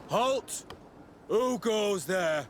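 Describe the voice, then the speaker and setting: A man playing a wary, grudgingly deferential soldier on guard in a video game, with a gravelly voice. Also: high voice, lively intonation, drawn-out words, slight background noise, full character voice. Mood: challenging. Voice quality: gravelly, creaky. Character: wary, deferential gate guard, disdainful of natives. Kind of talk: video game cutscene acting.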